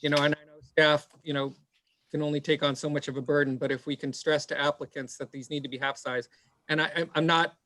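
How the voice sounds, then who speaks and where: A man serving as planning commissioner, giving a halting, apologetic comment full of repeated words at a public meeting. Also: on the high side, flat delivery, pushed and bright, slight background noise.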